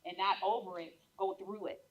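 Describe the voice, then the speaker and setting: A woman on a live panel speaking in a measured, encouraging coaching manner. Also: mid-range voice, steady tone, dull audio, slight background noise. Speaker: panelist and podcast host.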